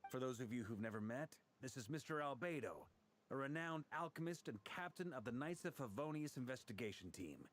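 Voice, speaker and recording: A man's voice: medium pitch, expressive, brisk, fairly bright tone, a little hiss or hum, lightly acted. An actor playing a polite young man in a video game, speaking formally and chattily with slight awkwardness. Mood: courteous, upbeat.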